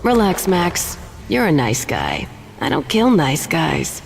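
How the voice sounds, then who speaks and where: A woman's voice: sits low, wildly swooping pitch, takes it slowly, gravelly, music in the background, full character voice. An actress playing a cool, flirtatious female contract killer with a teasing, unruffled manner.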